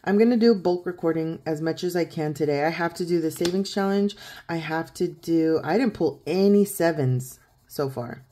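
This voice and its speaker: A woman in her thirties with a croaky morning voice, chatting casually at a home desk and counting money aloud as she fills in savings challenges. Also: low-pitched, normal speed. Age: 30s. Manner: chatty, counts out loud, laughs easily. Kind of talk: casual chat while counting cash.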